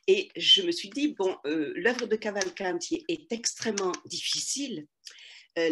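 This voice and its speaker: An older woman speaks in a low, calm voice, answering an interviewer at a very slow pace. She hesitates often, restarts her phrases and searches for the right word. Her tone is measured and reflective. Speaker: literary translator, interview guest.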